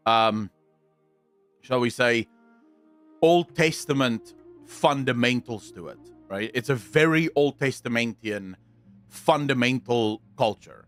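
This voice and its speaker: A young man with a South African accent chatting on a livestream, answering viewers in a deliberate, blunt way, repeating his points and swearing now and then. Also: medium pitch, fairly bright tone, clean tone. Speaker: streamer.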